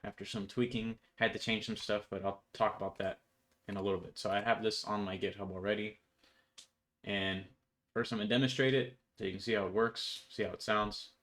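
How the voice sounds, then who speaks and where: A man's voice: on the low side, steady tone, fairly quick, a bit bright. A young man giving an informal code walkthrough in an offhand, slangy way. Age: young adult. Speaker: programmer presenting his project. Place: indoor room at home.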